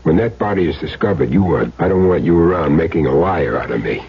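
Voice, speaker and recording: Low-voiced, cool hit man. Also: lively intonation, brisk, husky, heavily acted. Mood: cool, instructing. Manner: terse, cool, sardonic. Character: calm, hard-boiled male hit man with wry streak. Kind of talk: old-time radio crime drama acting. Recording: noisy muffled old radio recording.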